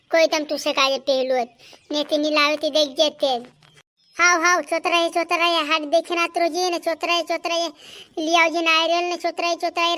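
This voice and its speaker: A chatty village man in a cartoon, rambling on in a sing-song Bhili dialect, as a voice actor plays him. His voice is high and sped up, with a squeaky cartoon edge. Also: bright, forceful tone.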